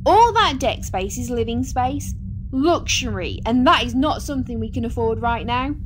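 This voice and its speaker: A woman playing a determined, practical starship engineer in an audio drama, brisk and problem-solving. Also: a little high, animated, slight background noise, lightly acted.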